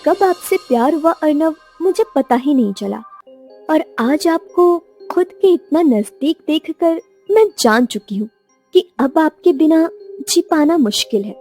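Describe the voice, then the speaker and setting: An eager, lovestruck young secretary in a Hindi radio romance. Her very high voice swings expressively, earnest and easily flustered, with music playing clearly underneath. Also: lively intonation, measured pace, mellow. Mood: tender longing. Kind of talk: Hindi audio romance acting.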